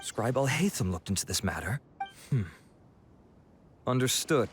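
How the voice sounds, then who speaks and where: An actor playing a stern, official guard officer in video game dialogue, formal and authoritative. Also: male voice, medium pitch, lively intonation, a little slow, heavy background noise, close to an everyday voice.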